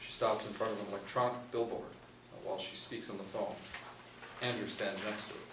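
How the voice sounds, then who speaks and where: A man's voice: on the low side, fairly even intonation, fairly quick. A man in his forties reading scripted stage directions as a narrator. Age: 40s. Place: small room indoors. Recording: roomy, distant mic, faint noise.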